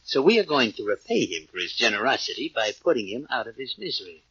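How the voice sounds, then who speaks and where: An actor playing a coldly polite, menacing gang boss with formal, measured speech in a 1940s radio crime drama. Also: male voice, medium pitch, sing-song, a bit bright, husky, no room sound, exaggerated voice. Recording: dull old radio recording.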